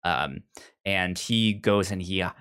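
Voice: low voice, slow, fairly bright tone, smooth. Stammering podcast co-host in casual chat. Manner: stammering, repeats sounds and words. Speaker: podcast co-host reviewing audio drama.

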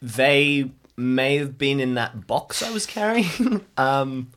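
A man's voice: mid-range voice, slow, fairly bright tone, a little hiss or hum. A man playing in an improvised tabletop audio drama, talking casually in a hesitant, chatty way. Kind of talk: casual improvised role-play.

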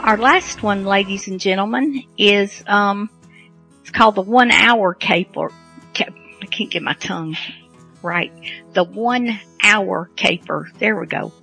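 A woman's voice: medium pitch, measured pace, vocal fry, bright, forceful tone, slightly rough, soft background music, low-quality recording. A woman hosting a radio show, introducing programs in a casual, unscripted way.

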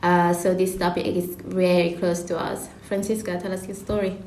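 A young woman with an Italian accent hosts a student discussion in a lowish, fairly even voice. She speaks haltingly, tripping over some words. The room is clearly echoey. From Italy. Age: young adult. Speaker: student host. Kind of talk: podcast discussion, semi-prepared presentation. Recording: echoey room with faint noise.

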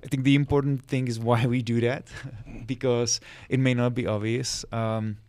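A man talks through his work in a measured, explanatory way. His voice sits low with a gravelly edge and stays flat in tone. The sound is close and dry. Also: dry recording. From Czech Republic. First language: Czech. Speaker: guest, financial sector specialist. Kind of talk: podcast interview.

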